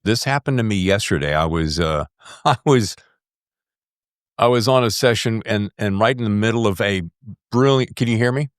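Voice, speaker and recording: A middle-aged American man with a bassy voice, hosting a podcast in an effusive, dramatic radio style with emphatic pauses. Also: clear, resonant tone. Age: middle-aged or older. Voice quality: bassy, deep voice. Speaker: podcast host. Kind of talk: podcast introduction and casual interview chat.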